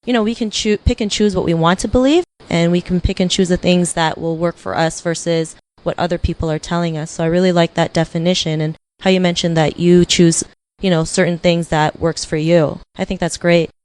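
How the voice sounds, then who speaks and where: A woman from the Philippines hosts a casual interview in a somewhat low voice. She reflects on her guest's answers, filling her speech with "you know" and "like". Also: fairly even intonation, brisk, a little mellow, poor audio. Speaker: interview host.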